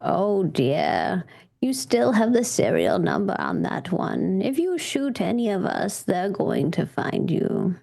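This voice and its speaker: A woman playing a gentle old lady in a tabletop game, calmly taunting in a sweetly condescending way. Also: mid-range voice, takes it slowly, creaky, husky, exaggerated voice. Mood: sweetly warning.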